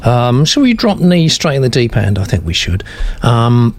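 A man's voice: mid-range voice, very animated, moderate pace, no echo. A man hosting a panel discussion of a writing sample, chatty and affirming, reading out viewer comments. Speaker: host of a writing panel show.

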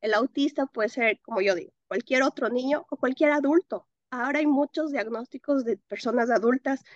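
Expressive Ecuadorian woman, interview guest. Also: high voice, normal speed, no room sound, dull audio. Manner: expressive, laughs often, mixes in English words. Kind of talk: interview answers.

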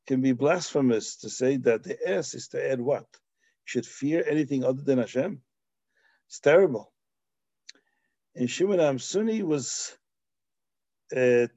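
Man teaching Talmud in a dark, husky voice. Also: fairly high pitch, no echo. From Belgium. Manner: explanatory, with Hebrew and Yiddish terms and frequent restarts. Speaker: Torah teacher. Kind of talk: Talmudic lecture.

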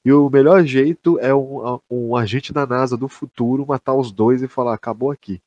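A man's voice: mid-range voice, moderate pace, darkish tone, dry recording, dull audio. A young man with a Brazilian accent, co-hosting a podcast in casual, slangy, sweary talk, often repeating himself. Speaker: podcast co-host. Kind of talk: casual chat.

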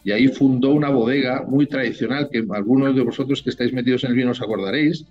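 A man's voice: medium pitch, steady tone, fast talker, a little mellow, muffled recording, soft background music. A man from Spain with a Spanish accent, a winemaker talking casually as an interview guest, rambling and full of tag questions.

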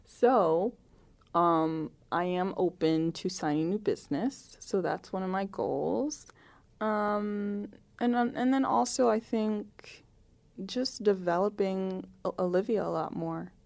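A woman's voice: mid-range voice, slow, creaky, darkish tone. A woman in her late twenties chatting casually as an interview guest, often peppering her talk with "like". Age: late 20s.

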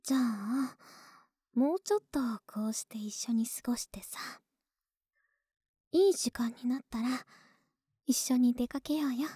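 A woman acting a breathy, sleepy, affectionate young neighbor. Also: a little high, no room sound, clean studio sound. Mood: coaxing, playful. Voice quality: soft, breathy, often whispered. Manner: slow, tender, coaxing, drawn-out drowsy words. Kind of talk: Japanese ASMR situation voice drama, intimate and soothing. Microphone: very close ASMR microphone, dry sound.

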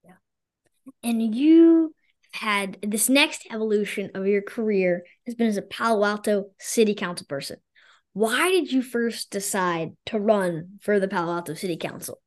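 A woman's voice: mid-range voice, moderate pace. A woman hosting an interview podcast and asking brief, direct questions. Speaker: podcast host.

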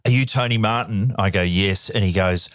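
Low-voiced man telling stories. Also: no room sound, clean studio sound. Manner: chatty storyteller, quick jokes. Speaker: podcast co-host. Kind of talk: casual chat. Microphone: studio mic.

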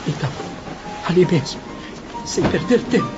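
A man's voice: high voice, expressive, moderate pace. A man playing a desperate, obsessive jilted lover in an impassioned, theatrical radio drama. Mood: haunted confession. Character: Mário, desperate, obsessive jilted lover confessing murder and suicide. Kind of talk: melodramatic radio drama acting. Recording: dull lo-fi radio drama, music behind.

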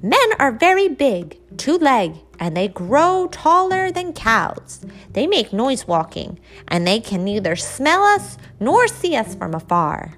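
A woman voicing a chorus of small meadow mouse children reciting their lessons in high, earnest voices. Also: very animated, slow pace, soft background music, exaggerated voice. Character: timid young meadow mice children, eager pupils.

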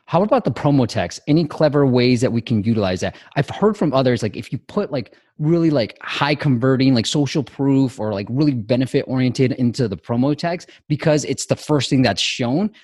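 A man's voice: mid-range voice, animated, fairly quick, few pauses, darkish tone, slightly rough. A man hosting a podcast interview, asking questions in a casual, upbeat way. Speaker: podcast host.

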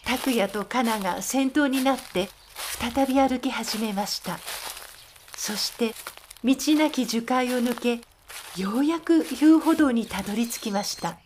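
A woman's voice: a little high, measured pace, a little mellow, slight background noise. A woman narrator reading scripted storytelling narration for an audio drama.

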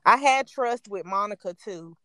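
A woman's voice: mid-range voice, expressive, normal speed, pushed and bright, no room sound. A woman co-hosting a podcast in casual chat, laid-back and slangy, laughing often. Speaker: podcast co-host.

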